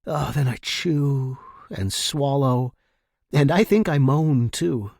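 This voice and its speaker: A man reading first-person fiction as a narrator, in a measured, deliberate and dramatic way. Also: mid-range voice, vocal fry, a little mellow, dry recording, clean studio sound. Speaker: story narrator.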